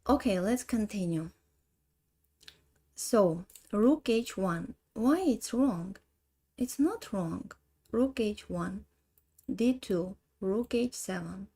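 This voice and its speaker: Armenian woman in her 30s, chess streamer, slow halting delivery, dark gravelly tone. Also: mid-range voice, expressive. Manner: thinks aloud, slow halting English. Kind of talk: live chess puzzle stream.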